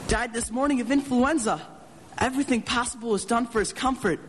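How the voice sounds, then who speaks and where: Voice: medium pitch, normal speed, close to an everyday voice. A performer voicing an eager, adventurous twelve-year-old boy in a radio drama, chatty and earnest. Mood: troubled. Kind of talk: live radio drama acting. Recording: reverberant stage hall, background noise.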